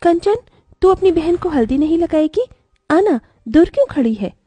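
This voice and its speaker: A woman's voice: extremely high pitch, lively intonation, normal speed, dark tone, no room sound. A woman voicing a kind young bride in a cartoon, a protective sister who speaks with tender, emphatic melodrama. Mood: coaxing.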